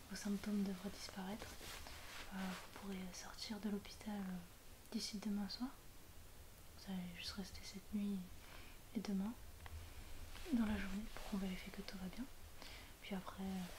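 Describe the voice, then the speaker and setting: A young woman plays a surgeon in a soft-spoken ASMR roleplay. Her voice is breathy and mostly whispered, at a middling pitch, with a gentle, reassuring manner. It is a home recording with noticeable noise and small clicks. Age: young adult. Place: small indoor room at home.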